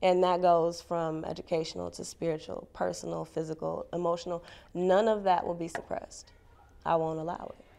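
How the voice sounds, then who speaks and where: A woman's voice: lowish voice, level tone, moderate pace, vocal fry, warm tone. A woman in her forties, a homeschooling parent, speaking emphatically in an interview.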